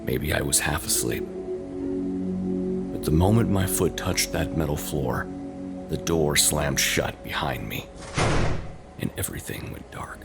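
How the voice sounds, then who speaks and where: Male horror storyteller, extremely low register, unhurried and suspenseful delivery over a prominent ambient music bed. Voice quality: very deep, gravelly. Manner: suspenseful, deliberate, dramatic. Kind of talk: slow, eerie scripted first-person horror storytelling.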